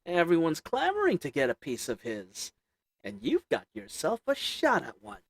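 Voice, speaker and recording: A man's voice: fairly high pitch, huge pitch swings, normal speed, creaky, no room sound, exaggerated voice. A man voicing a sly little old goblin merchant who sells shady goods, talking in a wheedling folksy drawl.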